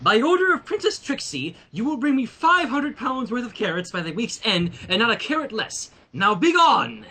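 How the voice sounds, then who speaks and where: A man's voice: very high voice, very animated, normal speed, hardly any pauses, sharp tone, slightly rough, voice pushed up, full character voice. A man voicing a vain, imperious young female pony princess in a haughty, demanding way, in a storybook reading.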